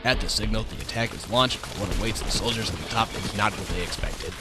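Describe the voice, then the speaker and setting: A man's voice: on the low side, quick pace. A man reading scripted narration for an amateur radio war drama. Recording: noisy amateur recording.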